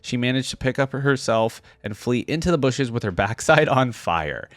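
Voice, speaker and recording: A man's voice: mid-range voice, lively intonation, moderate pace, a bit bright, no echo. A man doing casual, chatty reaction commentary, reading aloud and commenting informally to his viewers.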